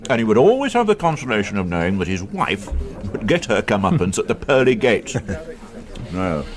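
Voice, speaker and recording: An actor playing a dry, worldly, sardonic police inspector in a radio crime drama, wry and urbane. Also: male voice, fairly low pitch, sing-song, a little fast, a crowd in the background. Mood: amused, sardonic. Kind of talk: naturalistic radio drama dialogue.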